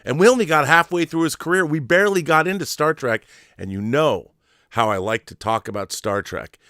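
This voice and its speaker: A man's voice: a little high, brisk, bright, forceful tone, no echo, clean studio sound. An American man, effusive and theatrical, hosting a casual fan interview show. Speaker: talk show host. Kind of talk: showman intro, then casual fan interview.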